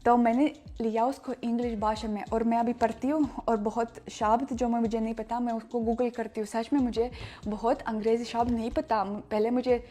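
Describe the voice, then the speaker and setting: Young woman vlogger, Russian accent, non-native speaker, halting word-searching delivery, warm higher voice over faint music. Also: level tone. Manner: halting, searches for words, many restarts. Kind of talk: casual chat to camera.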